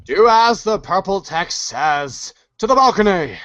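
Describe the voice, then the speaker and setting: A man's voice: sits high, animated, measured pace, a bit bright, no room sound. A man voicing a bossy, pompous wandering vagabond who gives mock-formal commands in a comic storybook reading. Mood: commanding. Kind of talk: comic read-along storybook acting.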